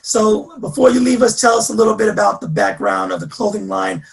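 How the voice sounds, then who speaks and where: Gravelly, high-pitched enthusiastic male host. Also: expressive, fairly quick. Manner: enthusiastic, encouraging affirmations. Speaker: podcast host, interviewer. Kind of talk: upbeat interview hosting.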